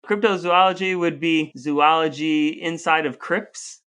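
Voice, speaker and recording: A man's voice: fairly high pitch, fairly even intonation, a little slow, sharp tone, smooth. An American man co-hosting a conversational podcast, explaining things articulately in full sentences. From United States. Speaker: podcast co-host.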